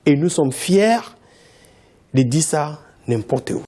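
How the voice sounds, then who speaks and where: Insistent man, lively pitch. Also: slow. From Cameroon. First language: English. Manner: insistent, repeats his points. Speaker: company founder, interview guest. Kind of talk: TV interview answers.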